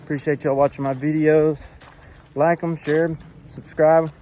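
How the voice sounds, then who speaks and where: A man's voice: fairly high pitch, flat delivery, moderate pace, mellow, slight background noise. Winded man vlogging on a trail. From Florida. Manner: breathing hard, winded while hiking uphill. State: hoarse. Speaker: hiking vlogger. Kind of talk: casual vlog narration to camera. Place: outdoors on a forest hiking trail.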